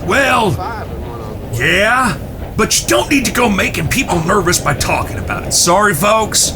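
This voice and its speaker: A man playing an exasperated, animated outlaw big brother. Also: high voice, measured pace, music in the background. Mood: impatient, instructing. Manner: foul-mouthed, impatient, explanatory. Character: exasperated, bossy eldest outlaw brother in the Old West. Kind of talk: audio drama acting.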